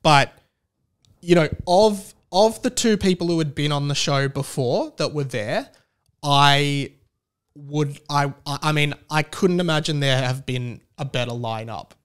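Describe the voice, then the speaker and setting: A man's voice: on the high side, unhurried, a bit bright, clear, resonant tone. A man with an Australian accent hosting a podcast solo, talking casually in an enthusiastic, rambling way. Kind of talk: casual chatty monologue.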